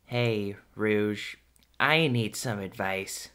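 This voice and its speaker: A man's voice: fairly low pitch, extremely slow, bright, forceful tone, clear, resonant tone, exaggerated voice. A man voicing an anxious, persistent young hedgehog hero in a fan audio drama, earnest and pleading. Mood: sheepish.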